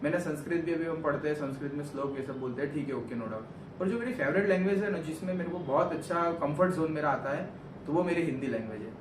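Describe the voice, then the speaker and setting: Young man, slightly high voice, rambling and earnest, casual vlog chat, echoey room, distant mic, noisy background. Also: quick pace. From Gujarat, India. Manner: rambling, earnest, self-correcting. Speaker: vlogger answering questions.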